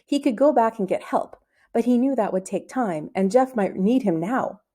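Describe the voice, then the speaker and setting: A woman reading a children's novel aloud as an expressive storybook narrator. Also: fairly high pitch, normal speed. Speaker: storybook reader.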